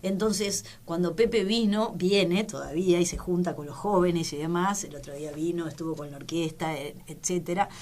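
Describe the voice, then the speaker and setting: A woman in her forties with a Rioplatense Argentine accent, a tango musician and teacher speaking as a radio interview guest, halting and often restarting her words. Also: fairly low pitch, a little mellow. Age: 40s.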